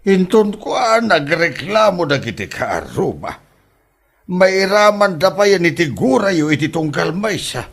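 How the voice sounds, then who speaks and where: A man's voice: high voice, very animated, a little slow, creaky, fairly bright tone, husky, exaggerated voice. A man playing an exasperated middle-aged father who scolds his grown daughter in a comedy audio drama.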